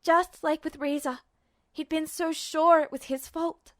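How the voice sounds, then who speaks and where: A woman narrating fan fiction as an audiobook reader, in a soft, even storytelling way. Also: high-pitched, measured pace, no room sound, clean studio sound. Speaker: podfic reader.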